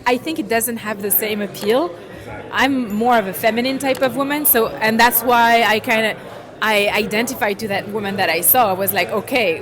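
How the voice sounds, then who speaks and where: A middle-aged woman from France, talking casually in an interview, animated and laughing, often trailing off and restarting. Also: fairly high pitch. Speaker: interviewee, watch collector. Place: busy indoor event. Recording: crowd chatter behind.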